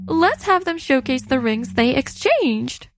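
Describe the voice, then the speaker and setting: A chipper wedding attendant played by a woman in a manga drama. She is polite and formal, very high in pitch and animated, over faint music. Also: a little slow, heavily acted. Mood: formal, announcing. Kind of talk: manga story dub acting. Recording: music under the voice.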